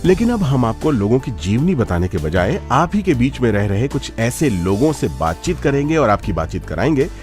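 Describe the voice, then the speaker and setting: A man presenting a radio magazine show in a playful, conversational way, addressing listeners directly. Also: on the low side.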